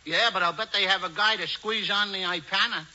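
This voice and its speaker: A man playing a dim, lazy, excitable fellow with slangy, ungrammatical speech in a 1940s radio comedy. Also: high-pitched, a little fast. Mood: dismissive. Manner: slangy, ungrammatical, simple-minded. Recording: muffled 1940s radio broadcast.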